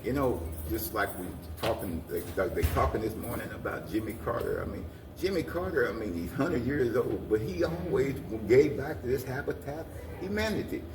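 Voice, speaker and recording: A man's voice: mid-range voice, animated, moderate pace, hardly any pauses. A man giving earnest, rambling interview answers, often repeating his phrases. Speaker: guest, community organization president. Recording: echoey, distant mic, noisy room.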